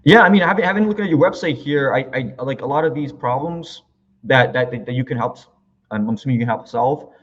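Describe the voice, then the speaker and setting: A man's voice: mid-range voice, a little fast, fairly bright tone, highs cut off. A man hosting an interview show, introducing his guest and asking questions, often stumbling and repeating his words. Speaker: show host.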